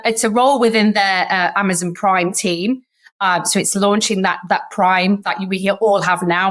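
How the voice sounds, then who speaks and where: A woman's voice: medium pitch, a bit bright. Chatty Sheffield woman telling stories. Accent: Sheffield English. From Sheffield, England. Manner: chatty storyteller who acts out remembered dialogue. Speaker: guest, startup founder. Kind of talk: podcast interview.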